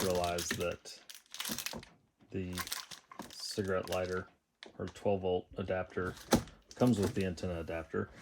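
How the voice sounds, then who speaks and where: Low-voiced man, casual DIY talk, noisy recording. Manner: unscripted, thinking aloud. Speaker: DIY vlogger installing a car radio. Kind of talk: casual how-to narration.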